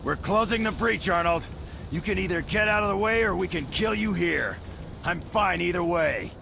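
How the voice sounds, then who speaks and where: An actor playing a commanding special forces squad leader in a video game, terse, blunt and gruff. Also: male voice, sits high, expressive, moderate pace, background noise. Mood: cold, resolute. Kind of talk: video game cutscene acting.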